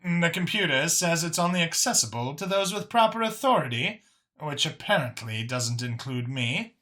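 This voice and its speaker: A man's voice: a little high, animated, normal speed, bright, forceful tone, voice pushed up. A man voicing a poised, friendly alien woman in a narrated science fiction story, amused and insistent. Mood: eager.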